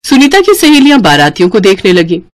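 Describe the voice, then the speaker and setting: A woman's voice: medium pitch, wildly swooping pitch, quick pace, soft background music. A woman narrating a scripted moral story as a storyteller.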